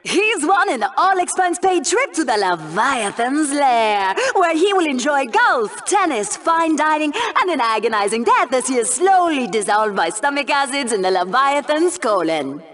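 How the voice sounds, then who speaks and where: A woman's voice: high-pitched, sing-song, normal speed, hardly any pauses, fairly bright tone. An actress playing a mocking, sweetly sadistic female co-anchor doing sports commentary in a video game. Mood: sweetly cruel. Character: sultry, sardonic female co-anchor with a cruel streak. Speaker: co-host commentator.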